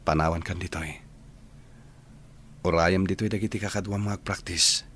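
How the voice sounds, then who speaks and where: An actor playing a gentle, lovelorn young man in a radio drama, with a Filipino accent. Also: sits low, fairly even intonation, normal speed, slight background noise. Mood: sad, apologetic. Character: Alfred, sad, gentle young man giving up love. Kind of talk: Ilocano radio drama acting.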